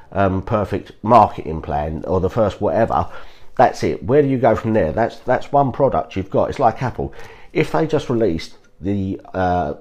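Croaky low-voiced man giving pep talk. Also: darkish tone. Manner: earnest, repeats "guys, trust me", frequent restarts. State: croaky from a cold. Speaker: podcast host. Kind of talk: casual motivational talk.

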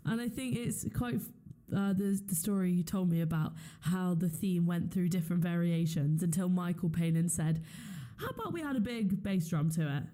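A woman's voice: on the low side, animated, moderate pace, few pauses, warm tone. A woman hosting a casual radio interview in an encouraging, appreciative manner. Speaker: interviewer, show host.

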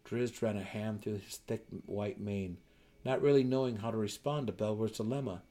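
A man's voice: fairly low pitch, moderate pace, warm tone. A man reading fantasy fiction aloud as a narrator, in a dramatic storytelling style. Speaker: audiobook narrator.

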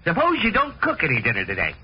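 Flustered, blustering 1940s radio dad. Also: male voice, a little high, huge pitch swings, fast. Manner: blustering, stammering. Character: flustered, exasperated middle-aged family father. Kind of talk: 1940s radio comedy acting. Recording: muffled, noisy 1940s radio broadcast.

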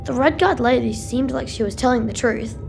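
A doubting, hurt young boy in an audio drama. The voice is a little high with a noticeable creak, set over clear music. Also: a little fast. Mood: suspicious, hurt.

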